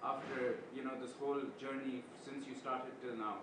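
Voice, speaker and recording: A man's voice: mid-range voice, little pitch movement, moderate pace. Male interviewer, talkative questioner; distant, roomy, noisy recording. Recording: roomy, distant mic with background noise.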